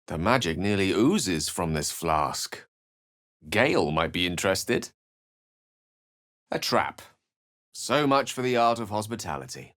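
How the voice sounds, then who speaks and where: A man's voice: on the low side, a bit bright, heavily acted. An actor playing a witty, curious male wizard adventurer in a video game, speaking in dry, articulate asides. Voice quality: dry wit, wry and articulate. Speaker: companion wizard character.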